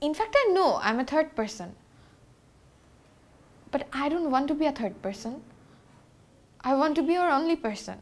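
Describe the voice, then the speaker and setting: Insistent high-voiced young lover. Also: female voice, expressive, extremely slow, long pauses, heavily acted. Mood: hurt, demanding. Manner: switches between Bengali and English. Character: insistent young woman in love with a married man. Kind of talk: naturalistic TV drama acting.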